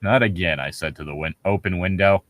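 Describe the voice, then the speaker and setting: A man's voice: deep voice, a little fast, fairly bright tone, dry recording, studio quality. A man reading a story aloud mockingly, with casual, sarcastic joking and some swearing. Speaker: co-host of a comedic story reading.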